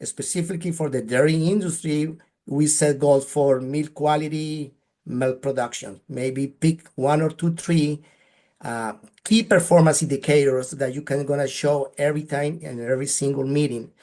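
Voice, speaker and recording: A man's voice: on the high side, steady tone, moderate pace, dark tone. Colombian-accented man giving practical advice. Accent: Colombian Spanish. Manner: earnest, advice-giving, frequent "right?" tags. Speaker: guest speaker. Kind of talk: webinar presentation.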